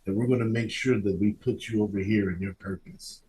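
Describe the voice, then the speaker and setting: A man hosting a casual interview podcast, speaking in an affirming, halting way and repeating himself as he goes. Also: lowish voice, fairly even intonation, a crowd in the background, poor audio.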